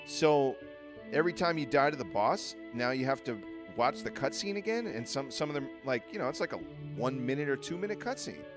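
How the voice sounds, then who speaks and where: A man's voice: medium pitch, lively intonation, a little fast, over music. A man giving casual gameplay commentary in a chatty, rambling way, thinking aloud as he plays. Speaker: video game player commentating.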